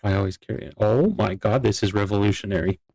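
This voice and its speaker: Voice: deep voice, fast talker, a little mellow. A player chatting and joking casually with a group during a roleplay game.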